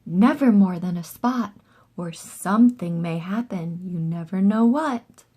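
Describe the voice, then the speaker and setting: A woman voicing a calm male pet shop keeper in a storybook, giving measured, instructive warnings. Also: fairly low pitch, breathy edge.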